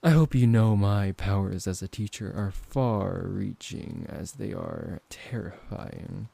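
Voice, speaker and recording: A man acting out a smooth-talking, possessive vampire professor in an intimate ASMR roleplay, politely condescending and teasing, close to the microphone. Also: lowish voice, slow, mellow, heavily acted. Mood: persuasive, polite. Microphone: close microphone, dry.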